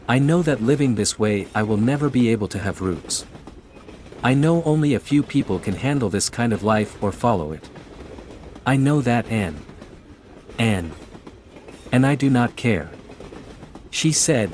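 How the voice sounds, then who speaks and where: A man from Mexico with a Mexican accent, Spanish his first language, slowly narrating a horror short story. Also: mid-range voice, darkish tone, a little hiss or hum. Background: faint music.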